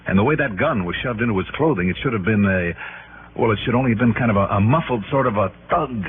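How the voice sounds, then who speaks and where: An actor playing a shrewd, dogged insurance investigator in an old radio detective drama, probing and thinking aloud with emphasis. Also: male voice, lowish voice, fast, narrow, tinny audio, slight background noise, lightly acted. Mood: puzzled, insistent. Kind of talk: 1950s-60s radio detective drama acting. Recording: band-limited old radio recording.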